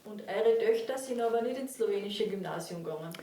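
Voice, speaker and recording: A woman conducts an interview with short, prompting questions. Her voice is warm and dark, and it reaches the microphone from a distance. The room adds noticeable reverb, with a faint ambience behind her. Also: medium pitch, animated, fairly quick, slight background noise. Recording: roomy, off-mic, faint ambience.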